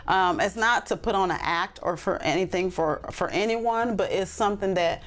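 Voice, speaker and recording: A woman's voice: medium pitch, moderate pace. A woman talking earnestly and reflectively as a podcast guest, with frequent ums and restarts. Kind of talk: casual interview talk.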